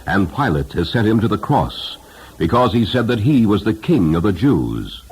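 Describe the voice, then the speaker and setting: A man's voice: lowish voice, sing-song, normal speed, heavy background noise, voice pushed up. A man voicing grave Parthian pilgrims telling news in a solemn storybook reading, declaiming their words. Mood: grave, urgent.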